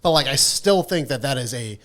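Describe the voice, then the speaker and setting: A man with a medium-pitched voice speaks as a podcast co-host. He is conversational and opinionated, explaining his points informally. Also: expressive, fairly quick, fairly bright tone. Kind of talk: casual podcast discussion.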